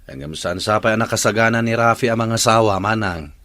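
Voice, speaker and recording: A man's voice: fairly low pitch, brisk, pushed and bright, no room sound, clean studio sound. A man with an Ilocano accent acting a patient, devoted bachelor uncle of forty in a radio drama. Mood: earnest, pleading.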